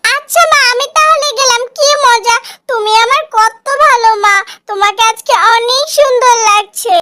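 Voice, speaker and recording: A woman's voice: very high voice, moderate pace, sharp tone, no echo, clean studio sound. A woman playing an innocent, trusting young schoolboy in a cartoon, eager and pleading. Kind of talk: cartoon story voice acting.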